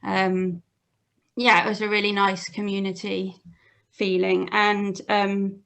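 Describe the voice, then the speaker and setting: Female, young adult. Slow, gentle, conversational storyteller on a home video call. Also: medium pitch, little pitch movement, vocal fry. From Cardiff, Wales. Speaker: interview guest. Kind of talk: casual personal storytelling. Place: small home room with bookshelves, on a video call. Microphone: computer mic on video call.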